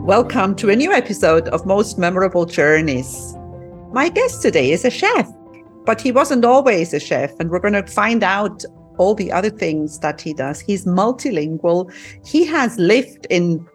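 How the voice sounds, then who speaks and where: A woman's voice: mid-range voice, sing-song, moderate pace, soft background music. A woman hosting a podcast interview, welcoming her guest and asking questions in an encouraging, affirming manner. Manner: warm, encouraging, with laughter and affirmations. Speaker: podcast host.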